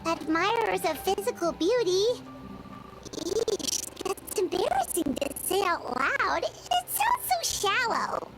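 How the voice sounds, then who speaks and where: Anime game sidekick: tiny fairy girl, very high and squeaky, bouncy intonation, music underneath. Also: sing-song, slow, full character voice, poor audio. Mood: awkward. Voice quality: squeaky, childlike. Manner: speaks of herself in third person, bubbly.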